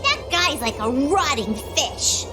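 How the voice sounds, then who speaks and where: Quirky little girl in a game, super high and bouncy, earnest, music behind. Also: big pitch swings, slow pace, full character voice. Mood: urgent, earnest. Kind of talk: video game voice acting.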